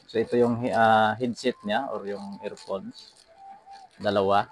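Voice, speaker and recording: A man's voice: lowish voice, level tone, slow pace. A man with a Filipino accent hosting a casual unboxing vlog, speaking to viewers and often repeating his phrases. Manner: repeats phrases and address tags. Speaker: vlog host. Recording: some background noise.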